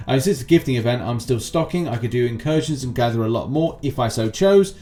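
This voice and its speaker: A man's voice: mid-range voice, animated, a little fast, dark tone, faint music behind. A man giving casual, rambling gaming news commentary as a channel host, with chatty asides.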